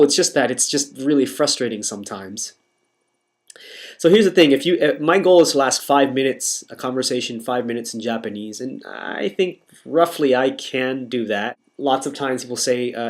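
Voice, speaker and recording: Halting American man vlogging casually. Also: medium pitch. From United States. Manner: halting, self-interrupting, frequent restarts. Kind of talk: casual monologue to camera.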